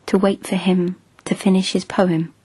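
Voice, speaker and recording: A woman's voice: lowish voice, little pitch movement, moderate pace, dark tone, husky, dry recording, poor audio. An actress playing a lovestruck young noblewoman in a radio drama, talking in an eager, breathless, whispery way. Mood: dreamy, confiding.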